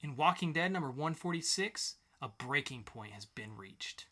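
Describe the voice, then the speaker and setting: Bright, animated male podcast host. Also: fairly high pitch, moderate pace. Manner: theatrically sarcastic, mock-grandiose. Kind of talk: casual comic review chat.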